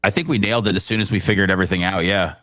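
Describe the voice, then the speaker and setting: A man's voice: sits low, fairly even intonation, racing, pushed and bright, no room sound, studio quality. A man chatting casually as a member of a radio show crew. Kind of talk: casual chat.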